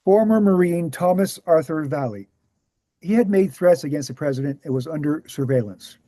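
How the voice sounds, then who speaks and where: Man acting as a mellow, high-voiced, measured lecturer. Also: dry recording, lightly acted. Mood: grave, explanatory. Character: measured male author answering assassination questions at a talk. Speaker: actor in a screenplay table read. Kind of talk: scripted dialogue read aloud.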